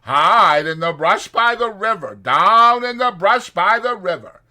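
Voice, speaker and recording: Big childlike farm laborer performed by a male reader, slow-witted and pleading, bright, swooping rustic delivery. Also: high-pitched, animated, pitched above the performer's own voice, full character voice. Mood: earnest recall. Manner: pleading, slow-witted, rural American dialect. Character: childlike, timid, simple-minded big male ranch hand.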